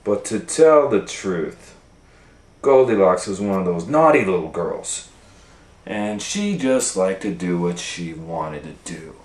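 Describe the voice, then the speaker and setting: A man's voice: low voice, expressive, takes it slowly, darkish tone. A man reading a picture book aloud to children, with folksy chatty asides and questions to listeners. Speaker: storytime reader and educator. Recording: roomy, echoing room sound.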